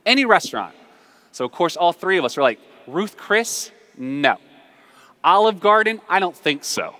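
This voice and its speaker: An American man preaching a conversational, humorous sermon full of storytelling, emphatic and animated, repeating phrases for effect. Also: high voice, normal speed. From southern United States. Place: large reverberant room, live before a congregation. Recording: reverberant hall.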